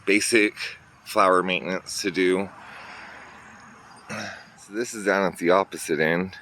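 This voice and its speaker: Brief: man, low voice, chatty garden vlogger, slightly congested, outdoors on a phone mic, faint traffic behind. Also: a bit bright. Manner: chatty, pointing things out to viewers. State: a little congested. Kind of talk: casual garden walkthrough. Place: outdoors in a garden near a road. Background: faint traffic and outdoor ambience.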